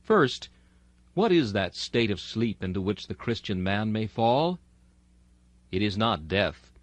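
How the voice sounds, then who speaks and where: A man reading a sermon aloud in a solemn, earnest, deliberate oratorical manner. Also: fairly low pitch, very animated, dry recording. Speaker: reader of a historical sermon.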